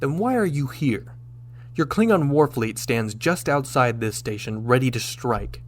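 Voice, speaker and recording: An actor playing a firm, wryly sardonic starship captain in a sci-fi audio drama, speaking crisply. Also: male voice, mid-range voice, animated, unhurried. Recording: clear background noise with hum.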